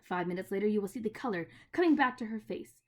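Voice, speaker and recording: A woman reading aloud voices a proud, menacing witch queen who commands and then coaxes in a hushed voice. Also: medium pitch, animated, quick pace, close to an everyday voice. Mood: tempting.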